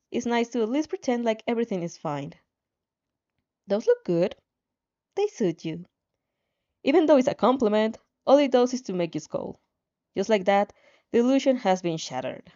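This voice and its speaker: Animated woman storyteller, slightly dull recording. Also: fairly high pitch. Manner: stumbles over words and repeats them. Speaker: fan fiction reader. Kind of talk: expressive amateur story narration, second person.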